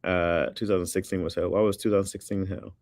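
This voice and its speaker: A man's voice: low voice, little pitch movement, fast talker, darkish tone, dry recording, clean studio sound. A man hosting a livestream, chatting casually with his viewers in a rambling, laid-back way and laughing often. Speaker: livestream host reading chat. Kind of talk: casual chat.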